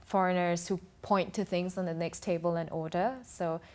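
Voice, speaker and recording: A woman's voice: lowish voice, steady tone, moderate pace, a little mellow. A woman guest on a TV talk show, explaining her views in a thoughtful way with frequent restarts. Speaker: food and travel blogger, guest. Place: TV studio.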